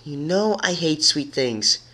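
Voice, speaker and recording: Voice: mid-range voice, slow pace, voice pushed up. Someone doing a grumpy, curt cartoon mare. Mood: menacing deadpan. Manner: curt, irritated, monotone when bored. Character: grumpy, bitter, menacing female cartoon pony. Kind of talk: cartoon character voice in fan fiction reading.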